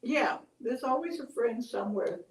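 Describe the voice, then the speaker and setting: An older woman telling stories and reflecting on them in a gentle, halting, conversational way. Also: on the high side, lively intonation. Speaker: storyteller, host. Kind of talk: oral storytelling and reflective chat. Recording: echoey room, distant mic.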